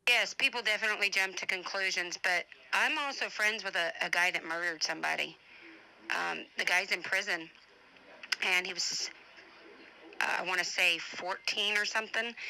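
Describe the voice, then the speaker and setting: A woman's voice: mid-range voice, pushed and bright, low-quality recording. A woman speaking informally in a personal voice message, emphatic and defensive, repeating her points to drive them home. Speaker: family advocate and show host.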